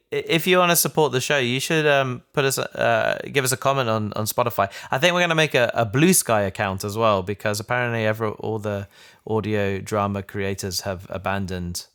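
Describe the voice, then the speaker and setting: A man with a smooth, clean voice talks casually as a podcast host. His phrasing is halting and hesitant, built up in short pieces. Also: medium pitch, a bit bright. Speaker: podcast host and show creator. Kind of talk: casual chat.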